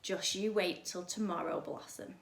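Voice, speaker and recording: A woman's voice: lowish voice, normal speed, creaky. Storybook villain: cruel, bratty young girl played by a woman, menacing, prone to shrieks, roomy sound. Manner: menacing, shrieking when angry. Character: cruel, spoilt little girl bullying cats. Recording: echoey room.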